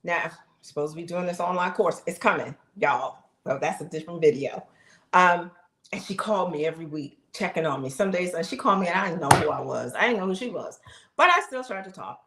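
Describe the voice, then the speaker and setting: A middle-aged woman with a fairly low voice speaks straight to her viewers on a live stream, animated and chatty. The sound rings off the walls of an echoey room. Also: brisk, slight background noise. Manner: animated, talks straight to the audience, exclaims, laughs. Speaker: live streamer sharing her health journey. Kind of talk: casual testimony to viewers.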